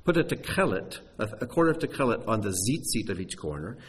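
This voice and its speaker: Lively man teaching a sermon. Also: lowish voice, a little fast, slight background noise. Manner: animated, emphatic teaching with sudden drops to near-hushed voice.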